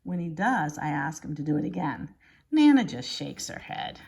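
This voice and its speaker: Animated, husky woman reading a storybook aloud. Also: sits low, moderate pace. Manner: expressive, exaggerated emphasis with dramatic hushes. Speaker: elementary school teacher reading aloud. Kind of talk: animated children's picture book storytelling.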